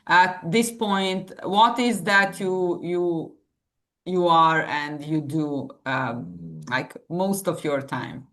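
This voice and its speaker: Halting woman host. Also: on the low side, expressive, a bit bright. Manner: halting, many "uh" pauses and restarts. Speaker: podcast host. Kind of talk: interview questions.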